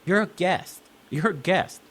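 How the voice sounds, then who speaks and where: A man's voice: sits high, animated, unhurried, fairly bright tone, husky. Profane man ranting bluntly in a clip. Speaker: commentator in a clip being reacted to. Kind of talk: blunt opinion rant.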